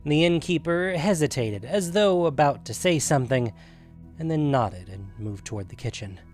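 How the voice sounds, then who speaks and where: A man's voice: a little high, lively intonation, normal speed, a little mellow. A man reading scripted fantasy fiction as the narrator of a story podcast. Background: faint music.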